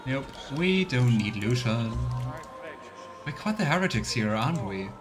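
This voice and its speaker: A man's voice: mid-range voice, big pitch swings, a little slow. A man giving casual, musing let's play commentary on a role-playing game, with a wry, sardonic manner. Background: game music.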